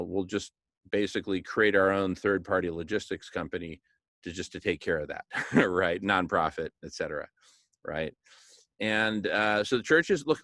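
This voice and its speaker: A man's voice: on the low side, a bit bright, no room sound, clean studio sound. Enthusiastic man giving an informal pep talk. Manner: enthusiastic, emphatic, repeatedly seeking agreement with tag questions; jokey, chuckles at his own remarks. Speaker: organizer of a food charity project. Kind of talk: informal motivational planning talk.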